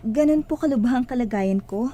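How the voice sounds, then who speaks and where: A woman's voice: a little high, moderate pace, warm tone, faint music behind, close to an everyday voice. An actress playing an earnest, devout and hopeful woman facing illness in a radio drama. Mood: worried.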